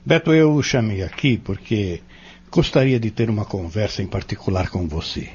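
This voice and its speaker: An actor playing an earnest, patient spiritual mentor in an audio drama, courteous and explanatory. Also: male voice, lowish voice, very animated, normal speed, darkish tone, dull audio, slight background noise. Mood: courteous, serious. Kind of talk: audio drama dialogue, didactic.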